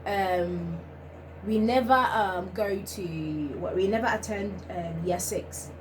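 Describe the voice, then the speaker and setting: A woman's voice: medium pitch, slow pace. A young woman from Finland, the host of a vlog, chatting casually and animatedly with many fillers and laughs. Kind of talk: casual chat. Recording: noisy home recording.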